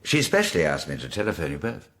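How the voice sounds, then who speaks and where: A man's voice: low voice, wildly swooping pitch, very fast, a bit bright, heavily acted. A man playing a polite, shrewd amateur detective in a radio drama, with faint noise behind him. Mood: smooth, probing.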